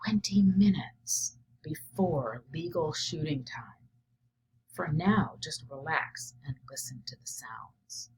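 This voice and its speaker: A woman voicing a calm, careful father in a children's storybook, giving instructions to his child. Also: sits low, slow pace, background noise, voice pushed down, full character voice. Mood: whispered, calm. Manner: hushed, instructive.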